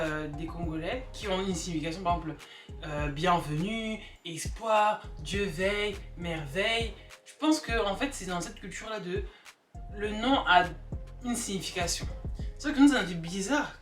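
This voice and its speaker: A woman chatting casually and comically to camera, emphatic and animated, repeating words for effect. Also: medium pitch. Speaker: vlogger. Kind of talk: casual comedic opinion chat to camera. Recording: echoey room, distant mic, background music.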